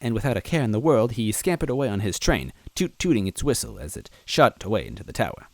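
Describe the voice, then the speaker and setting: A man with a fairly low voice narrates a story, expressive and lively, now and then dropping in a personal aside. The recording is dry and close, with no room sound. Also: quick pace, no room sound. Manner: expressive, adds asides to the listener. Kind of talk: reading fan fiction aloud.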